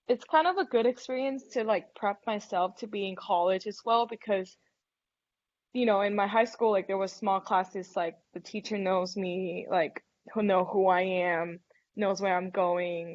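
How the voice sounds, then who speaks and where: A woman's voice: mid-range voice, dull audio. A young woman from Thailand whose first language is Thai, chatting casually in a webinar interview and filling her speech with "like" and "um". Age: young adult. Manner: chatty, many fillers like "like" and "um". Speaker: college golfer guest.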